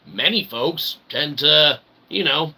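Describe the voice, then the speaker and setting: A man's voice: on the high side, lively intonation, slow, sharp tone. A man hosting a comedy show with a theatrical showman's delivery, rambling in wordy, mock-formal phrases and repeated catchphrases. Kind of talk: rambling comic monologue to an audience. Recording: clearly noisy recording.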